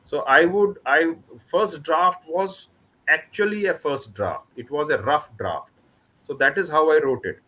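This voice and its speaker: A man's voice: on the high side, animated, bright, forceful tone. A man from Kashmir with an Indian accent, an author chatting casually and often restarting his sentences. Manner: halting, with many restarts and repeated words. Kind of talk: casual online book club chat.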